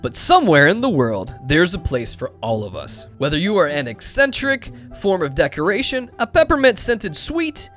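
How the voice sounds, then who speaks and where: A man giving an expressive, dramatic storybook narration. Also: high voice, normal speed, hardly any pauses, faint music behind.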